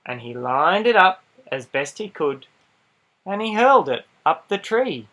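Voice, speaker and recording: A man reading a children's picture book aloud in an animated style, with dramatic pauses and playful asides to young listeners. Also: a little high. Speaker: storybook reader.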